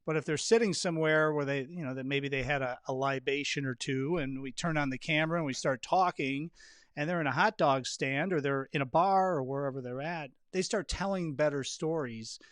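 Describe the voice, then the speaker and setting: A man hosts a casual interview podcast, talking conversationally in a slightly higher-than-usual voice. The sound is very dry, with almost no room around it. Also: brisk, fairly bright tone, clean tone, no room sound. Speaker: podcast host.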